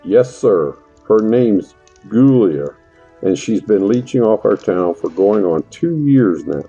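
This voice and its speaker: A man's voice: lowish voice, expressive, slow, warm tone, slightly rough, highs cut off, faint music behind, full character voice. A man playing a folksy, grumbling middle-aged farmer in a fantasy audio drama, fed up and colloquial. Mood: indignant. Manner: folksy, colloquial grumbling with mild country oaths.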